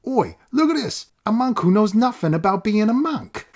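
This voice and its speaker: A man's voice: high-pitched, very animated, normal speed, voice pushed up, heavily acted. A man voicing a grubby, greedy male street driver who bullies a boy, in a coarse, jeering manner.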